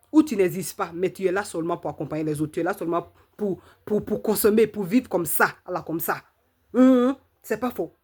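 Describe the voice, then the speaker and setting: A woman hosting her channel, giving an emphatic, repetitive opinion monologue full of rhetorical questions and drawn-out "mhm"s. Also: mid-range voice, a little mellow, breathy edge. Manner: fills pauses with drawn-out "mhm". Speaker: channel host.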